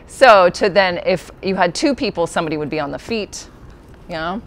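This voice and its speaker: Woman, fairly low voice, friendly explainer, casual interview, many ums, faint ambience. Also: animated, moderate pace, slight background noise. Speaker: puppeteer guest.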